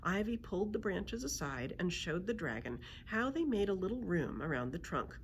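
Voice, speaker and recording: Woman, children's storyteller, expressive narration, lowish voice, lively intonation, faint room noise. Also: normal speed. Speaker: library storyteller. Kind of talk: children's picture book read-aloud.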